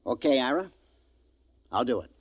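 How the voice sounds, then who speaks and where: An actor playing a boisterous, sentimental drunk spaceship engineer with slurred, rambling talk, in 1950s radio drama style. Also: male voice, medium pitch, animated, normal speed, slight background noise, close to an everyday voice. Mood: chummy, tipsy. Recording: dull vintage radio recording.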